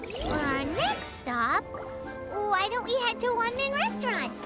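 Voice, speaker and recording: Squeaky little fairy companion. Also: female voice, very animated, takes it slowly, full character voice. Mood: cheerful. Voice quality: squeaky, childlike. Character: tiny floating fairy companion, childish, chirpy, bossy. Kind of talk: video game voice acting. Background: music.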